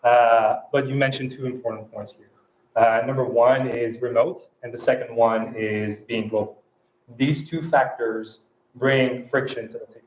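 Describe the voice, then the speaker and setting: A man from France speaking English with a French accent, a guest at a fireside chat who talks in halting phrases with many restarts and fillers. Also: medium pitch, steady tone, echoey room, poor audio. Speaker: panel guest.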